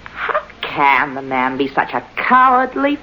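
An actress playing a cold-blooded, self-satisfied scheming wife in an old-time radio drama. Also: female voice, extremely low pitch, very animated, unhurried, pushed and bright, exaggerated voice. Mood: smug, scornful. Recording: dull old radio recording, faint noise.

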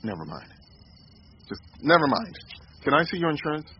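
An actor playing a grieving, distrustful father in a radio drama, speaking in a halting, agitated way. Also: fairly high pitch, sing-song. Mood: upset. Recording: muffled radio drama recording, faint noise.

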